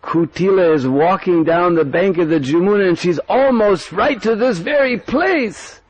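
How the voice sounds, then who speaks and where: A man's voice: sits high, normal speed, slightly rough, no room sound, voice pushed up. A man voicing an urgent young lookout girl. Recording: dull dry recording.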